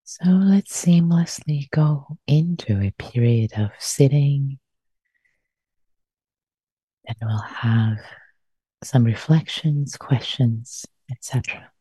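Slow, soft-spoken woman guiding meditation. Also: very deep voice, slightly rough, no room sound, rough recording. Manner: gentle, soft-spoken, unhurried with repeated phrases. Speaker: meditation teacher. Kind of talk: guided meditation.

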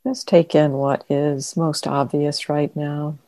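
A woman teacher leading a guided meditation in a gentle, unhurried manner, leaving long pauses. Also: deep voice, level tone, no echo. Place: meditation center.

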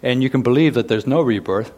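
A man who is a Buddhist monk, sitting on a discussion panel. He speaks slowly and thoughtfully, with a voice of ordinary pitch. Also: darkish tone.

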